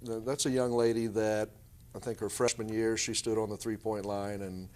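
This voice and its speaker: Male basketball coach, lowish voice, halting measured answers in a conversational interview. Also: flat delivery, darkish tone.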